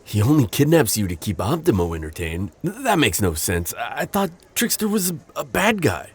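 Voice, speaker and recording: A man playing a gentle, protective civilian friend in an audio drama, earnest and hesitant with a slight stammer. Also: medium pitch, big pitch swings, normal speed, slightly rough. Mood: puzzled, curious. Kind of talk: naturalistic audio roleplay drama acting.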